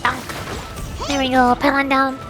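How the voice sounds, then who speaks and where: A woman's voice: high voice, completely flat delivery, a little slow. A woman streamer giving casual gameplay commentary, playfully muttering to herself as she plays. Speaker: streamer, vtuber. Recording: game music behind the voice. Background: faint game music.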